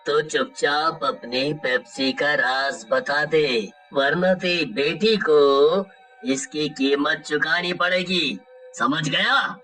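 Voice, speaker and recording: An actor playing a scheming, greedy village merchant, a boastful villain in a horror story. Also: male voice, fairly high pitch, takes it slowly, sharp tone, distant mic, soft background music. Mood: menacing, gloating.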